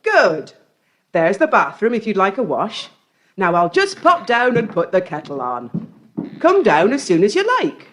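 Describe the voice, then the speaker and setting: A woman's voice: mid-range voice, wildly swooping pitch, unhurried, fairly bright tone, slight background noise. An actress playing a welcoming, motherly farm landlady, hospitable and reassuring, in an audiobook drama.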